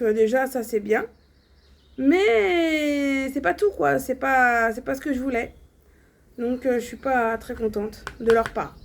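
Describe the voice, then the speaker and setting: A young woman talking casually and bluntly to her viewers, with many fillers and restarts. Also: high-pitched. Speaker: vlogger. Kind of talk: casual personal vlog monologue.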